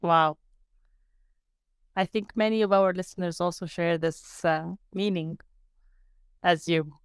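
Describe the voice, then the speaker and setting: A woman's voice: lowish voice, steady tone, takes it slowly, clean tone, dry recording. A woman speaking as a podcast host, introducing questions in a conversational interviewing style.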